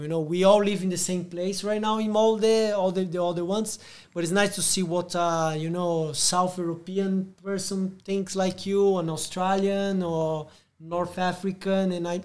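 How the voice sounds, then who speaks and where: Brazilian man with a high voice. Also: a little mellow. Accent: Brazilian Portuguese. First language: Portuguese. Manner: halting, restarts often. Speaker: podcast host. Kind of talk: casual interview.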